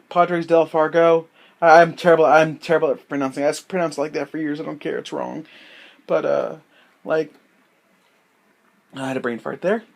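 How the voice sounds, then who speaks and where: Young man, sick, rambling about a game. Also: fairly high pitch. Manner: halting, many fillers and self-corrections. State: sick, voice a little off. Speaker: gaming vlogger. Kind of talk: rambling unscripted monologue. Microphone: handheld device mic.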